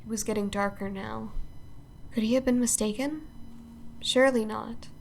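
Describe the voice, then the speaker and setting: A woman's voice: on the high side, steady tone, moderate pace, gravelly, a little hiss or hum. A woman reading scripted storytelling narration for a radio play.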